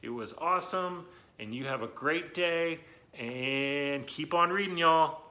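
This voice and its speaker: A man's voice: a little high, unhurried, pushed and bright, slight background noise. A man reading and talking to young children in an enthusiastic, encouraging way, speaking to them directly and stretching words for emphasis. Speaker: children's story reader and host. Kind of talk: children's read-aloud and talk to young viewers.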